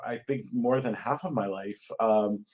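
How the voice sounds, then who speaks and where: A man from Connecticut speaks as an interview guest in a slightly low voice. He is casual and thoughtful, often pausing as he searches for the next phrase. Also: darkish tone, dull audio. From Connecticut, United States. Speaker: guest playwright.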